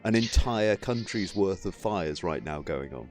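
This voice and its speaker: A man's voice: sits low, lively intonation, a little slow, a little mellow. Guy hosting a podcast, sarcastic, swears a lot, faint music behind. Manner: sardonic, swearing, reads chat comments aloud. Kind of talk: casual group chat.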